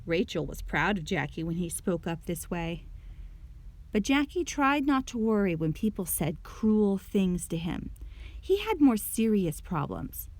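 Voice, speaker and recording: Female storybook reader, mid-pitched, narrating expressively for a young audience. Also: big pitch swings, measured pace, a little mellow. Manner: clear storytelling with questions to young listeners. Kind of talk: expressive children's read-aloud narration.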